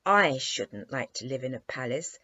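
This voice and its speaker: Woman voicing a scornful little storybook girl, swooping pitch. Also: expressive, normal speed, bright, forceful tone, husky, dry recording, heavily acted. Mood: critical. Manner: bossy, teasing, exclamatory. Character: haughty, scornful little girl, a minister's daughter. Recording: dry, slightly dull lo-fi recording.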